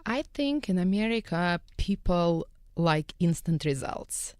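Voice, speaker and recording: A woman's voice: lowish voice, lively intonation, a little slow, a little mellow, no room sound, studio quality. A woman from Eastern Europe with a Russian accent, a guest on a radio panel who talks earnestly and often drops articles. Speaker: guest, skin care salon owner. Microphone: studio microphone.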